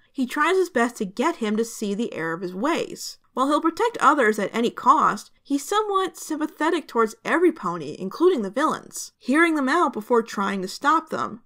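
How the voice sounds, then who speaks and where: A woman's voice: on the high side, a bit bright. A woman hosting a review channel, giving scripted narration in a conversational, enthusiastic fan's manner.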